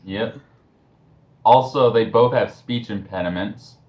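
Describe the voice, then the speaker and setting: A man with a medium-pitched voice and an unhurried pace, heard through dull, lo-fi voice-chat audio. He makes snide, blunt remarks as a casual co-commentator.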